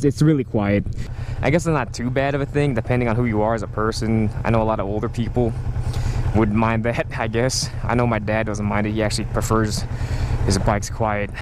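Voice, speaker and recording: Young man talking while riding a motorcycle. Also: medium pitch. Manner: rambling, self-correcting, nitpicking. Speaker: motovlogger. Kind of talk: casual ride-along commentary. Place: riding a motorcycle on city roads, outdoors. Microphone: helmet mic. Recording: road and engine noise.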